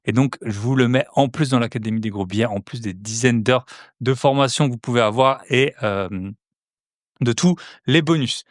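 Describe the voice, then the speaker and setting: A young man with a sing-song accent hosts a podcast in a mid-pitched voice. The recording is close and dry, with no room sound. He rambles casually, drops "euh" everywhere, and slides into an eager sales pitch. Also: normal speed, dry recording. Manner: chatty, many "euh" fillers, sales-pitch enthusiasm. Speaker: podcast host. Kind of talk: casual podcast monologue and promo.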